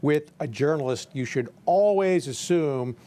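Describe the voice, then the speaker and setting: A man's voice: on the high side, animated, measured pace, warm tone. A man giving political commentary as a correspondent on a news panel, emphatic and pointed in his phrasing.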